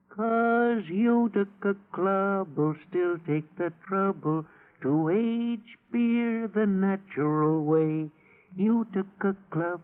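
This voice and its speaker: Pompous cartoonish cop, high, animated, stiffly formal, old radio comedy spot. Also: male voice. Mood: amazed. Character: pompous, excitable comic male police officer. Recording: thin, phone-like old radio recording.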